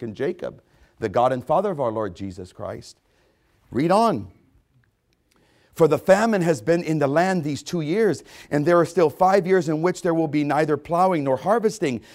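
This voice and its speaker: A man with a notably high voice delivers a sermon, reading scripture and then driving its meaning home. He leans hard on repeated phrases. He jumps from ringing, near-shouted exclamations down to soft, intimate asides. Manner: emphatic, repeats phrases for effect; swings between loud exclamations and hushed asides. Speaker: preacher.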